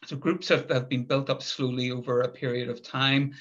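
Middle-aged Northern Irish lecturer on a video call; even, flat, hesitant delivery, dull sound. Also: male voice, mid-range voice. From Northern Ireland. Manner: measured, thoughtful, with frequent hesitations and restarts. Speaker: conference speaker, lecturer. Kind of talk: academic presentation. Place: home room, indoors. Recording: muffled video call audio.

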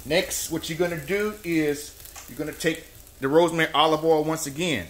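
A man hosting a home cooking show, explaining each step in a folksy, relaxed way. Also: a little high, moderate pace, slight background noise. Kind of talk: casual cooking demonstration. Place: home kitchen at the stove. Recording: echoey kitchen room. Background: oil frying and sizzling in a skillet.

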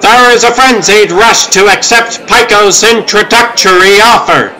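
A man's voice: sits high, measured pace, bright, forceful tone, low-quality recording. A man reading scripted comic-book narration in a dramatic style.

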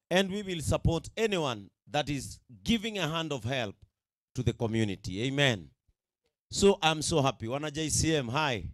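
A middle-aged Kenyan pastor with a Kikuyu accent, preaching emphatically to a gathering and often repeating himself to check they follow. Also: male voice, fairly high pitch, dry recording. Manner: emphatic, repetitive, checks in with the audience. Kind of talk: sermon to a live gathering. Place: outdoor tented event. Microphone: handheld microphone.

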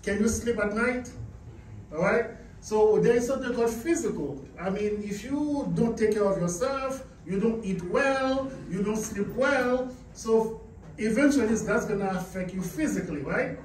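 A man's voice: high-pitched, moderate pace, slight background noise. Man from Haiti giving a business seminar. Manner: emphatic, asks the audience questions. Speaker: seminar speaker. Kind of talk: motivational business presentation. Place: carpeted indoor meeting room. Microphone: far camera, no visible mic. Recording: echoey room, camera far off.

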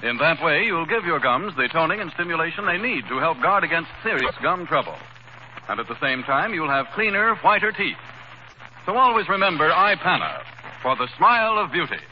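A man's voice: on the high side, big pitch swings, a little fast. A man announcing in a formal, polished 1930s radio style. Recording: muffled 1930s radio with hiss.

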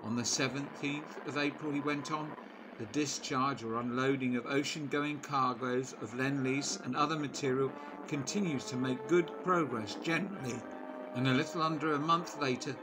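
A man narrating a local history documentary in a measured, informative voice-over. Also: medium pitch, rough recording. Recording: voice-over with loud music bed.

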